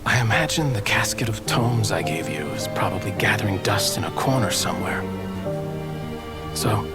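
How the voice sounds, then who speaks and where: An actor playing a gentle, frail, dying scholar in a video game, weak and breathy. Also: male voice, on the low side, animated, normal speed, lightly acted. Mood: bittersweet farewell. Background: loud emotional music.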